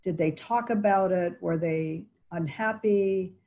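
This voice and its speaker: A woman's voice: lowish voice, dark tone, muffled recording. Woman moderating a video-call panel. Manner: measured, thanks each guest and moves on. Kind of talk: interview questions. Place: home living room, indoors.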